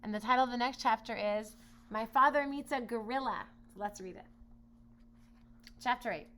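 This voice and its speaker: A woman reading a children's storybook aloud in expressive narration. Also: fairly high pitch, moderate pace. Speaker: storybook reader. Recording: noisy home recording with hum.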